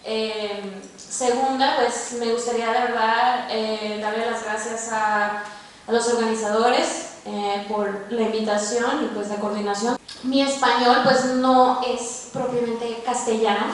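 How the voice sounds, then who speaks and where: A woman in her twenties with a Mexican accent gives a personal talk to the public in an informal, halting way, often ending phrases with a tag question. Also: on the high side, level tone. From born in Mexico, grew up in Los Angeles, United States. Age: 20s. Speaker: guest speaker, activist and veteran. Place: large university lecture hall, indoors. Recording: echoey hall, distant mic, faint noise.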